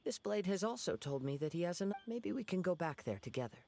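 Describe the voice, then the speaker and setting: A man voicing a calm, poetic young wandering samurai in a video game, with gentle, measured and courteous speech. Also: high-pitched. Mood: composed. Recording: background music.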